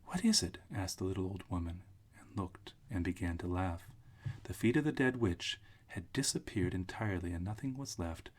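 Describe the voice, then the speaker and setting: A man's voice: deep voice, normal speed. A man narrating a storybook aloud, reading straight from the text.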